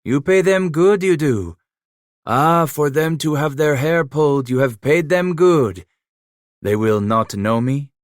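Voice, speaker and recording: A man's voice: a little high, animated, a little slow, dry recording, studio quality, voice pushed up. A man voicing Emile, a scarred giant. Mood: menacing. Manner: broken English, mocking, menacing. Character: giant scarred French-Canadian frontier killer, cunning, playful.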